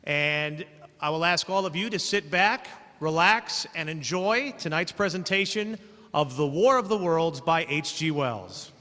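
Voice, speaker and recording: A man hosting a live show, addressing the audience informally and haltingly, repeating phrases. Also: a little high, animated, sharp tone. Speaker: host of a live theater show. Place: on stage in a theater. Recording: reverberant theater hall.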